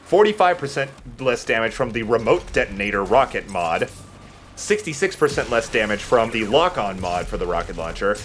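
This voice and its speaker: A man giving casual gameplay commentary as the host who is playing. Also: medium pitch. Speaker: let's play host, playing the game. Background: video game music.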